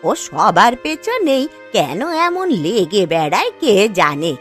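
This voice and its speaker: A woman's voice: mid-range voice, wildly swooping pitch, slow pace, a bit bright, faint music behind, exaggerated voice. A woman voicing a concerned, plain-spoken village wife, fussing and soothing, in a cartoon. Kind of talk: cartoon dialogue acting.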